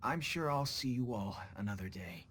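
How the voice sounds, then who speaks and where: An actor playing a gentle, weary, tender father in a video game, speaking haltingly. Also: medium pitch, expressive, soft background music, natural voice. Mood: grateful.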